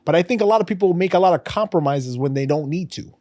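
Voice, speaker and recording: A man's voice: a little high, animated, fast, mellow, no room sound. A man in his thirties with a New York accent, co-hosting a podcast in casual, emphatic, slangy chat. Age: 30s. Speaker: podcast co-host. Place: podcast studio.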